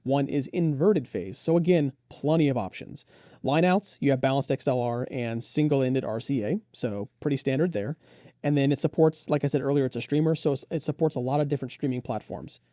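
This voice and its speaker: A man's voice: medium pitch, a little fast, dark tone, no echo, studio quality. A man co-hosting a gear review, enthusiastically and conversationally running through detailed product specs. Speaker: audio gear reviewer and co-host.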